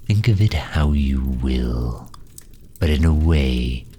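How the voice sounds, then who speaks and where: A man playing a grave, haughty dark knight who absorbs souls, speaking formally and deliberately with a deep, breathy, husky voice in an audio roleplay. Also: lively intonation, heavily acted. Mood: brooding, solemn. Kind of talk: slow dramatic audio roleplay monologue.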